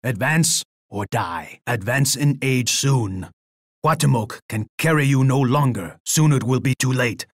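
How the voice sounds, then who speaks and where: An actor playing a proud, menacing Aztec emperor in a video game, boastful and imperious, speaking with pompous theatrical weight. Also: male voice, medium pitch, lively intonation, unhurried, dry recording, clean studio sound. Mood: scornful, stern. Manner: pompous, speaks of himself in the third person. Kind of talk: dramatic video game character lines.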